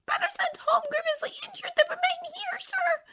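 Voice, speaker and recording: Brief: woman doing a weepy fawning male house elf, very high whispery squeak, storybook. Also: steady tone, moderate pace, background noise. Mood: tearful pleading. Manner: sobbing, pleading, fawning. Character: small tearful male house elf servant.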